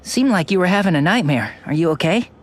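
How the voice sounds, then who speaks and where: A woman's voice: on the low side, lively intonation, fast talker. A woman voicing a caring, frank, teasing young squadmate in a video game cutscene. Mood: concerned.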